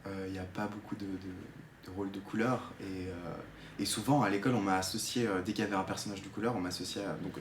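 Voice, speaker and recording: A man's voice: sits low, steady tone, fast. A twenty-year-old man from Geneva gives an unscripted personal testimony, hesitant and searching for words as he explains. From Geneva, Switzerland. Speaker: drama student giving a testimony. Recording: echoey room with faint noise.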